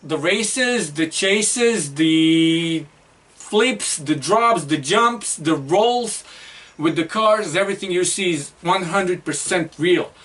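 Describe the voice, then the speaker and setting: A man's voice: sits high, lively intonation, takes it slowly, fairly bright tone, far from the mic. A man co-hosting a casual movie review chat, rambling with lots of fillers and restarts. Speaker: co-host.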